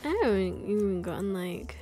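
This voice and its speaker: A woman's voice: medium pitch, little pitch movement, moderate pace, warm tone, faint music behind. A young woman streaming a game, chatting casually to herself in rambling thoughts that trail off mid-sentence. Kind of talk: casual live-stream chat.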